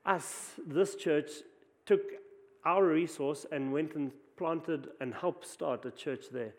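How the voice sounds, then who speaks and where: South African preacher in a reverberant hall. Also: male voice, on the high side, takes it slowly. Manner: conversational preaching with frequent restarts. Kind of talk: sermon.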